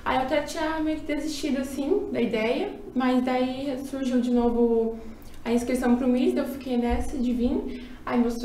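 A 21-year-old Brazilian woman answering interview questions in a relaxed, slightly hesitant way. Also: a little high. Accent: Brazilian Portuguese. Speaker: pageant candidate. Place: office studio. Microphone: desk mic on stand. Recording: roomy, distant, faint hum.

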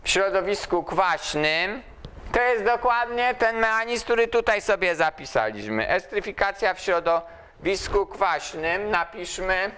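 An older man lecturing at a chalkboard in a large hall, pausing often to ask students questions as he writes. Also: sits high, animated, pushed and bright, clean tone. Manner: slow, asks students questions while writing on the board. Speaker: university lecturer.

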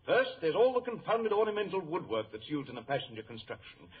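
An actor playing a gruff, emphatic, worried ship's captain in an old-time radio drama. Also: male voice, fairly high pitch, sing-song, quick pace, exaggerated voice. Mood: blustering, grave. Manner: emphatic, salty, blustering. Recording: tinny old radio recording, faint noise.

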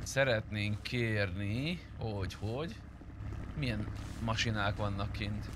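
A man's voice: lowish voice, background noise. A man playing an online roleplay game, talking casually and improvising in a joking, teasing way. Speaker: roleplay gamer playing a robber.

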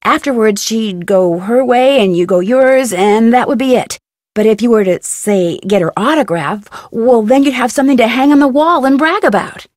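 A woman's voice: a little high, moderate pace, darkish tone, dry recording, clean studio sound, close to an everyday voice. A woman playing a polite, persuasive young American detective in a video game. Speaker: player character, amateur detective. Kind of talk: video game character dialogue.

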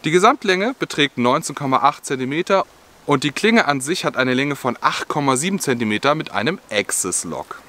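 A young man with a bright, clear-edged voice talks through camping gear in a friendly, explanatory way. He is filmed outdoors in a forest, speaking to the camera as the channel's host. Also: on the high side, expressive, a little fast. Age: young adult. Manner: friendly, explanatory, with scripted-sounding product details. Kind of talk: gear review vlog.

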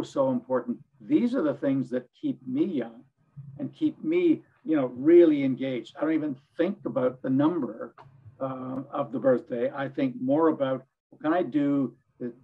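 An older man with a hoarse voice, speaking earnestly as a panelist on a webinar from his home study over a video call. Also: medium pitch, muffled recording. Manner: earnest, rambling with restarts. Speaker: executive director, panelist.

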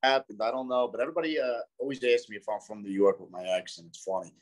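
A man in his twenties with a South Philadelphia accent, relaxed and easygoing, chatting as a guest over a video call from a small room. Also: lowish voice, fast. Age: 20s. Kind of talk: casual chat.

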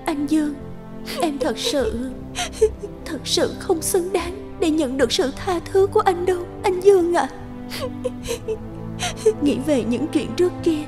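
A woman voicing a guilty young woman who is crying and apologizing in a cartoon. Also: extremely high pitch. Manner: tearful, pleading, apologetic. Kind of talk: cartoon voice acting. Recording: music under the voice. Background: background music.

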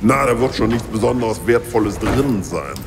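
A man's voice: lowish voice, normal speed, close to an everyday voice. An actor playing a grumbling, complaining adult male sidekick. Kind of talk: audio drama acting. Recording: music bed and long reverb.

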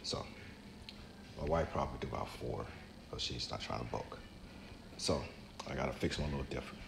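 Very deep-voiced man in his fifties. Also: fairly quick, gravelly. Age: 50s. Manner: relaxed, conversational, talks straight to the camera. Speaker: vlogger. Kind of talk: casual how-to talk. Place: home kitchen, indoors. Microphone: camera mic from a few feet away. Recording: echoey kitchen with background noise.

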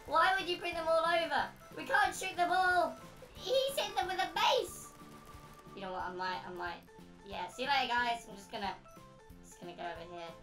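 A woman hosting a gaming video with excitable commentary and frequent exclamations. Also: high-pitched, moderate pace, faint music behind. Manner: excitable, prone to shrieking exclamations. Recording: roomy echo, distant mic.

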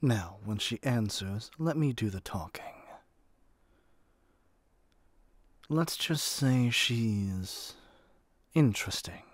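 A man's voice: lowish voice, animated, slow pace, no room sound. A man playing a haughty, impatient god in an audio roleplay, with an imperious, theatrical way of talking. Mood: calm, soft. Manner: imperious, theatrical, addresses listener as mortal. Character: haughty, impatient male god of magic rooming with a human.

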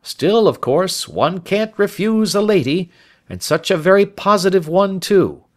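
A man's voice: sits high, lively intonation, measured pace, voice pushed up. A man voicing a lean, sly, self-satisfied Scotland Yard inspector in an audiobook, with a cocksure, indulgent manner. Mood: smug, indulgent.

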